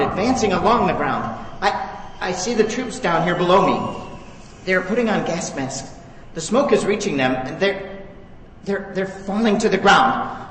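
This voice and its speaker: A man's voice: high voice, fairly bright tone. An actor playing a frightened war correspondent in a radio play, urgent and breaking up his phrases. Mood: horrified, faltering. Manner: breathless, broken phrasing, urgent. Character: frightened male war correspondent reporting from the battle. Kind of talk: radio play acting as live report. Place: theater stage, live radio play performance. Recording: echoey hall from far off, clear noise.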